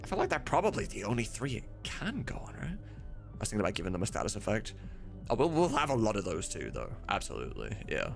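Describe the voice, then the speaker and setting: A man's voice: on the low side, wildly swooping pitch, fast talker, creaky. A man talking casually and thinking aloud on a livestream, enthusiastic and emphatic, with some mild swearing. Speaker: game mod voice director and streamer. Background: music in the background.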